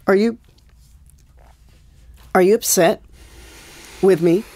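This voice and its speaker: An actress playing an anxious, defensive pregnant wife in a naturalistic audio drama, with an emotional, urgent delivery. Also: female voice, mid-range voice, slow, mellow, dry recording. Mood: uneasy, hesitant. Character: anxious, defensive pregnant young wife, pleading with her husband.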